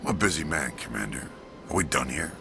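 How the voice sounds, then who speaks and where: A gravelly, very deep alien police chief. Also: male voice, animated, fast talker, background noise, heavily acted. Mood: resentful. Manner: blunt, clipped, disapproving. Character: stern, distrustful veteran alien police chief. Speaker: head of citadel security. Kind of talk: video game dialogue. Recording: music behind the voice.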